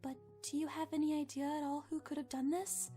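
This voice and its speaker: A woman playing a kind, sympathetic young female pony investigator in a cartoon, with a gentle, careful manner. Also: sits high, flat delivery, a little fast, natural voice. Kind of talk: animated cartoon acting. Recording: music behind the voice.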